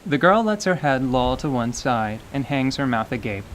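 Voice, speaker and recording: A man narrating a scripted radio theater story in a measured, descriptive way. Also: mid-range voice, sing-song, fairly bright tone, a little hiss or hum. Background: faint music.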